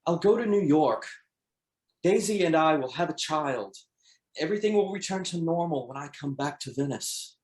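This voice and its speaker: A man's voice: on the high side, lively intonation, normal speed, darkish tone, lightly acted. Man acting an insecure lover, echoey room. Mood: pleading, intense. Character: insecure, ambitious young husband and secret lover. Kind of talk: screenplay table read. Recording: roomy, echoing sound.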